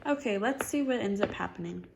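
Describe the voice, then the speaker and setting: A woman's voice: mid-range voice, expressive, brisk, vocal fry, very noisy. A woman reading a picture book aloud to a child, encouraging and often echoing answers back as questions. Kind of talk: dialogic storybook reading with questions.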